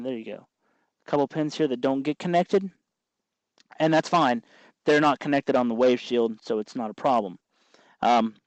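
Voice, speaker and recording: A man hosts a do-it-yourself tutorial in an informal, meandering way. His mid-pitched voice often hesitates as he thinks aloud over the job. The sound is dry, with little room echo. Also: darkish tone, muffled recording. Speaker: host of a DIY electronics tutorial. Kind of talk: informal hands-on tutorial commentary.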